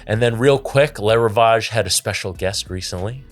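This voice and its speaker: A man's voice: lowish voice, moderate pace, faint music behind. A man co-hosting a casual podcast, reading out viewer comments and teasing his co-host. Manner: playful, teasing, lively. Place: podcast studio.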